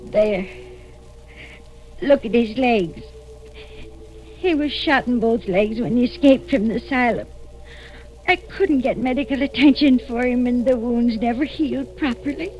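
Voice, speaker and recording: An actress playing an anxious mother who pleads haltingly to protect her fugitive grown son, in 1940s radio drama style. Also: on the high side, a little mellow, soft background music, close to an everyday voice. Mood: protective, earnest. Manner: halting, distressed, earnest pleading. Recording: dull lo-fi vintage radio recording.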